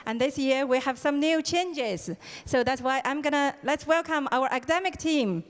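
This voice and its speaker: A woman's voice: high-pitched, moderate pace, slight background noise. A woman organizing a program, giving an opening ceremony address with frequent hesitations and fillers. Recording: hall reverb.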